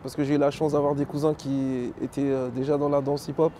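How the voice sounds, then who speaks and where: A middle-aged man with a mellow, dark-toned voice, speaking at a mid pitch. He recounts his dance career in a relaxed way, pausing on fillers and listing names. Faint city traffic hums behind him outdoors. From Belgium, Congolese family. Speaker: professional dancer and choreographer. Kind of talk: casual interview, personal history.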